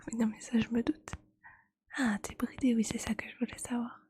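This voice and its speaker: A woman speaking in a breathy ASMR whisper, chatting casually and with gentle teasing like a streamer talking to viewers. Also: a little high, level tone, normal speed.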